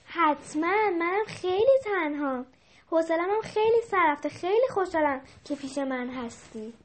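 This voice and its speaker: A woman acts out a sweet little doll in a children's storybook. The voice is extremely high and small. The manner is gentle, eager and a little lonely. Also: expressive. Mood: glad, lonely.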